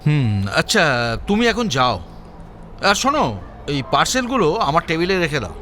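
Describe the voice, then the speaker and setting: A man's voice: a little high, sing-song, moderate pace, bright, forceful tone, faint music behind. A man playing a theatrical, authoritative senior police chief in an audio drama. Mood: commanding.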